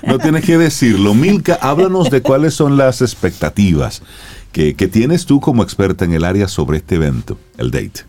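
A male radio co-host with a lively, swooping voice, chiming in with jokes and quick yeses. He sounds at ease in the banter. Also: on the low side. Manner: jokey, quick affirming interjections.

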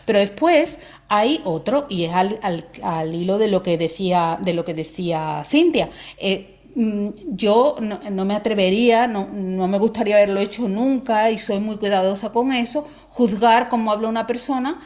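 A woman speaking as a language expert guest in a talk show, explaining in a measured way and often checking in with short tag questions. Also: medium pitch, darkish tone.

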